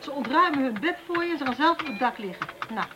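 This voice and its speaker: High, animated female police detective. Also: fast, dull audio, noisy background. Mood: pondering. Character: female police detective, brisk, inquisitive and sympathetic. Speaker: actor in a radio play. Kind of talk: naturalistic Dutch radio drama acting.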